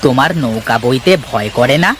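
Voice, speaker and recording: A man playing a polite, curious gentleman in an animated story, speaking in a measured way. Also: medium pitch, a little hiss or hum, lightly acted. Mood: mildly curious. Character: polite gentleman ferry passenger, mild and curious. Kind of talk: animated story character acting.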